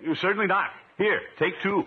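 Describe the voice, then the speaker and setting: A man playing a pompous, genial middle-aged uncle with hearty, drawn-out exclamations in a 1950s radio comedy. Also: high voice, muffled recording, natural voice. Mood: flattered. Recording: noisy 1950s radio broadcast recording.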